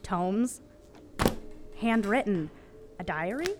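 A woman's voice: medium pitch, animated, slow, over music. Bookish, wry teenage girl investigator. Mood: eager, giddy. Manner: precise, wry, matter-of-fact. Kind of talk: naturalistic audio drama acting.

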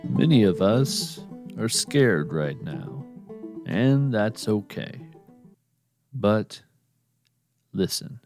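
A man narrating his podcast in a slow, measured, earnest scripted address to the listener. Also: fairly low pitch, very animated, darkish tone, faint music behind.

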